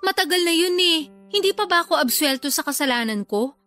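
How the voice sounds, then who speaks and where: A woman's voice: extremely high pitch, animated, a little fast, sharp tone, full character voice. A Filipino woman playing a defensive, sulky older sister in a radio drama, pleading and wheedling. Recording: music under the voice.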